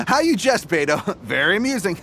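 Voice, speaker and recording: An actor voicing an oily, fawning, cowardly bandit leader in a video game, with theatrical, obsequious manners. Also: male voice, high-pitched, slight background noise, heavily acted. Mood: smarmy. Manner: obsequious, theatrical, hesitant drawn-out flattery.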